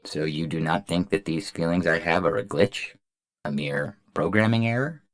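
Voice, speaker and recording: A man's voice: sits low, normal speed. A man voicing a shy, naive and earnest robot in a science fiction audio story, hopeful and lovestruck, speaking carefully with music underneath. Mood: confused, hopeful. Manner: earnest, wide-eyed, careful wording without contractions.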